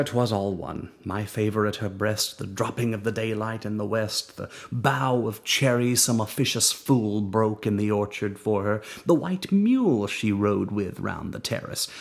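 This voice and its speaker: A man voicing a proud, coldly jealous aristocratic duke in a dramatic poetry reading, measured with sudden rises and near whispers. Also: on the low side, vocal fry.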